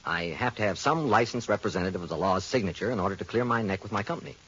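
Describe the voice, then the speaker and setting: A man's voice: fairly low pitch, rapid-fire, a bit bright, husky. An actor playing a persuasive male insurance adjuster in a 1940s radio drama, with a dull old radio sound.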